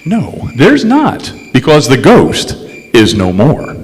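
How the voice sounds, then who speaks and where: A man playing a confident, wry detective in an old-time radio drama on stage, speaking deliberately and emphatically. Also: medium pitch, huge pitch swings. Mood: wry, accusing. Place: stage in a large reverberant hall. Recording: echoey hall stage recording with music.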